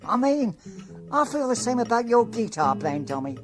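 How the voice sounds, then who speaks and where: A woman playing a coy, boastful safe-cracker in a comic radio play, excitable in manner. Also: fairly high pitch, normal speed. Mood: gushing. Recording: guitar music under the voice.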